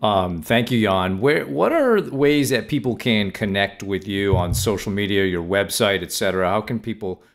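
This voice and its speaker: Low-voiced man closing an interview. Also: lively intonation, moderate pace, hardly any pauses. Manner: polite and appreciative. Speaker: podcast co-host. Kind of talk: interview wrap-up.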